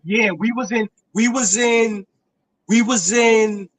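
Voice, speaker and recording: Man, 30s, co-host: high, slangy and profane, repeats words, recorded dry. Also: steady tone, slow, no room sound. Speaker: podcast co-host. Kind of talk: casual nostalgic chat.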